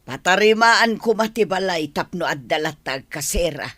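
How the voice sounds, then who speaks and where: A woman's voice: fairly low pitch, lively intonation, moderate pace, a bit bright, no room sound, studio quality. A woman playing an exasperated, nagging older mother in an audio drama, complaining and scolding about money. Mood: weary. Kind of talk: dramatised dialogue in a serial love story.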